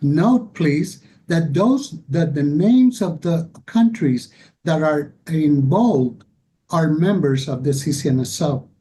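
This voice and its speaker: A man giving a formal, deliberate slide presentation as a conference panelist. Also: lively intonation, mellow. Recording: rumbly background noise.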